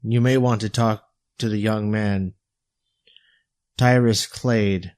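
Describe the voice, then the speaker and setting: This is a battle-worn bodyguard in an audio story, as a man acts him out. His voice is fairly low and closely recorded with no room sound. He talks in a sober, measured, earnest way, like a mentor. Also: fairly even intonation, a little mellow, smooth, no echo. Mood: reassuring.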